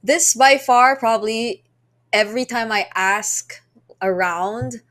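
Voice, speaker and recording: A woman's voice: on the high side, slow, a bit bright. A woman hosting an esports podcast in a casual, conversational style. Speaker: podcast host.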